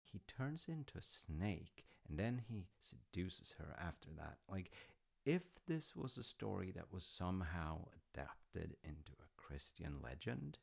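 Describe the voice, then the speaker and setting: A man's voice: low-pitched, very animated, a little slow, gravelly, slightly rough, full character voice. A man playing an affectionate, proud dad in an audio roleplay, rambling through stories in a musing, conversational way with hesitant asides. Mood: musing, fond. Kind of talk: intimate rambling storytelling roleplay.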